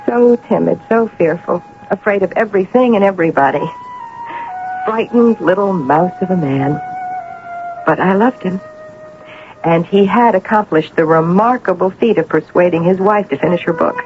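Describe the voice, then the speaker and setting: A woman's voice: on the low side, moderate pace, music in the background, close to an everyday voice. Shrewd woman editor in old radio drama played by an actress. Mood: reflective, knowing. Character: shrewd, eager woman editor, secretly in love. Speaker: lead actress and first-person narrator. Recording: muffled, noisy old radio broadcast.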